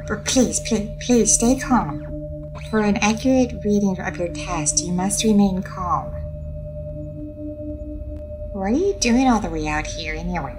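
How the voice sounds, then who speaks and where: A woman playing a friendly, curious alien starship captain in an audio roleplay, soothing and a little hesitant as she addresses the listener. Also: on the low side. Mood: flustered, caring.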